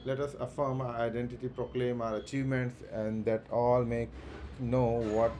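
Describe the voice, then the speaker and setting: A man streaming a game, reading the on-screen dialogue aloud slowly and plainly, with stumbles and restarts. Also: mid-range voice, level tone, mellow, slight background noise.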